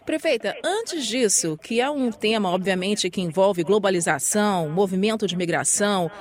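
A woman's voice: medium pitch, expressive, normal speed. A woman interviewing a mayor with poised, formal questions.

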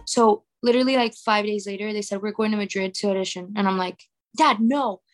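A woman's voice: mid-range voice, a little fast, music in the background. A young woman from Venezuela with a Venezuelan accent and Spanish as her first language, talking casually as a singer and filling her speech with "like". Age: young adult. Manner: chatty, filler-heavy with "like". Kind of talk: casual interview.

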